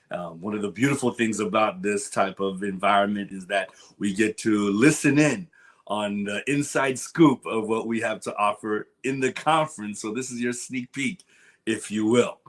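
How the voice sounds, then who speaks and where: A man's voice: fairly low pitch, fairly bright tone. A man hosting a sponsor interview for a conference, upbeat and emphatic, often repeating his phrases. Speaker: interview host. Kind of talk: enthusiastic conference promo interview.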